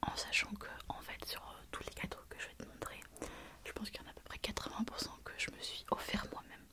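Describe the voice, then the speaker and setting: A woman with a head cold, softly whispering through an ASMR show-and-tell with many pauses and fillers. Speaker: ASMR creator.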